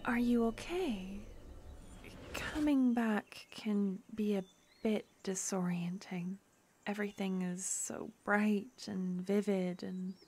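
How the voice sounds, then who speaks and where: A woman voicing a tender young necromancer girlfriend, talking in a soft whisper in a fantasy ASMR roleplay. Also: mid-range voice, a little hiss or hum, close to an everyday voice.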